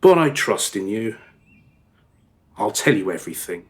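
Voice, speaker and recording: A man's voice: on the low side, sing-song, unhurried. An actor playing a remorseful married father in a radio play, confessing his past in a rambling, hesitant, over-descriptive way. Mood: sheepish, confiding. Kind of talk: radio play dialogue, storytelling monologue.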